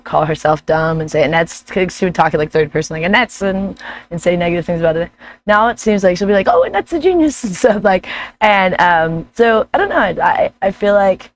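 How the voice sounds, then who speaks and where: Woman vlogging, hoarse gruff voice, kinda low, rambles and laughs a lot. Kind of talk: casual chat.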